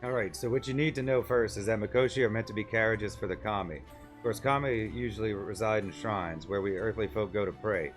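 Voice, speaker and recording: A man voicing a gruff, rough-spoken shipwright with blustering working-class speech in a game. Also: fairly low pitch, fairly quick, faint music behind, lightly acted. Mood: instructive. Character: gruff, rough-spoken male shipwright, quick-tempered but helpful.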